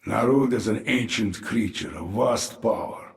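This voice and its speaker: An actor playing a sardonic, grim undead military commander in a video game, with a gravelly voice and dry menace. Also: male voice, measured pace, very noisy. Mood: grim warning. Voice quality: gravelly, low. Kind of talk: video game character acting. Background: music.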